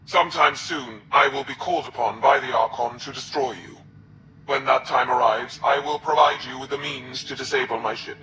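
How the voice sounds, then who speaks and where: An actor playing a proud, calculating alien military commander in a video game, speaking formally and with measured authority. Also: male voice, medium pitch, noisy background, natural voice. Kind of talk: video game character acting.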